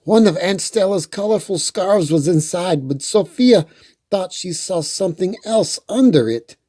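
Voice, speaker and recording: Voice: medium pitch, expressive, a little slow, warm tone. A storyteller reading a children's picture book aloud in a playful way, with chatty asides. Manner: playful, acts out dialogue.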